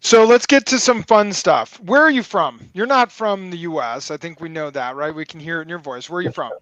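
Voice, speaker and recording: A man from Chicago hosting a casual interview over a headset, talking in an animated, joking way. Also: on the high side, brisk, no echo. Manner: animated, chatty, jokes. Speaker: podcast host. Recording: dry, dull headset audio.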